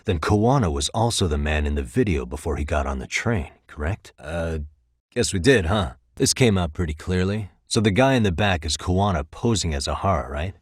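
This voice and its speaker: A determined American private detective in a dramatic video game. His voice is deep with a husky edge, recorded close and dry. He speaks in a measured, probing way, sharp and dryly pointed. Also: animated, no room sound. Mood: analytical.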